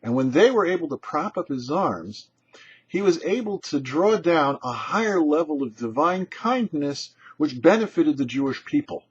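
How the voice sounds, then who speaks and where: A man with a husky voice, giving a short scripted religious teaching as a rabbi in an emphatic, explanatory way. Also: a little high, big pitch swings, normal speed. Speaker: rabbi and show host. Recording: dull, muffled lo-fi audio.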